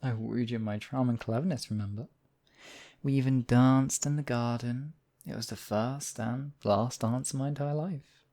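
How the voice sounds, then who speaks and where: A man playing a gravelly, possessive demon king. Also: medium pitch, warm tone, lightly acted. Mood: wistful, wooing. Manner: theatrical, silky, possessive, drawn-out endearments. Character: possessive, smug, obsessive demon king wooing his captive. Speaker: voice actor. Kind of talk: theatrical roleplay monologue, ASMR audio drama.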